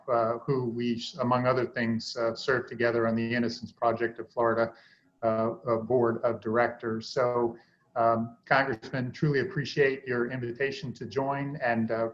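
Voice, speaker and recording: Genial older-sounding guy on a muffled video call, flat tone, lots of uhs. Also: mid-range voice. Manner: genial, halting with fillers. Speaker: policy advisor and panelist. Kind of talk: online panel introduction. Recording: dull lo-fi video call audio.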